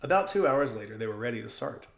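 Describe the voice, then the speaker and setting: Animated male storyteller with gravelly edge. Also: mid-range voice, fast talker, reverberant. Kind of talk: expressive audiobook narration for children.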